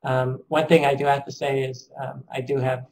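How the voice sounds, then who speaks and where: A man with a raspy, strained voice gives a webinar presentation over a call. He speaks in a flat, level tone and pauses often with ums. A faint hiss sits under the audio. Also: medium pitch. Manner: hesitant, many ums, measured.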